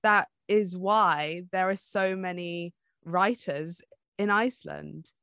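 Woman, low-pitched, dry sound, casual podcast co-host, chatty informal storyteller. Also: slow, vocal fry, a bit bright, smooth, no echo. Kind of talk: casual book podcast chat.

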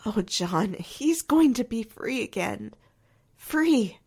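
A woman's voice: a little high, animated, slow pace, vocal fry, a little mellow, slightly rough, exaggerated voice. A woman playing an earnest, hopeful, devoted character in a 1940s-style radio drama.

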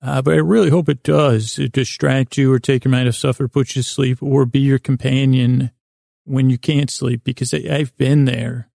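A man hosting a bedtime podcast in a rambling, whimsical monologue, meandering and stumbling over words, with a gravelly, creaky voice. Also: medium pitch, fairly even intonation, normal speed, dark tone, dry recording, clean studio sound. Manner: silly, whimsical, soothing intent. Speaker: podcast host.

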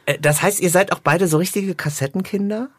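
A man's voice: high-pitched, a little fast, fairly bright tone, no echo. Middle aged guy, podcast host, bit of husk in the voice, super dry sound. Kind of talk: casual podcast interview.

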